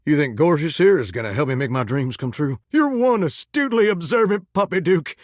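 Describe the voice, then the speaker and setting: A man voicing an earnest, excitable shower come to life as a folksy country singer with dreams, in an American Southern accent, for a video game. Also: a little high, a little fast, no room sound, clean studio sound, lightly acted. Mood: earnest, sentimental. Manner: folksy, earnest, flirty drawl with dramatic swoops. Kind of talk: video game character voice acting.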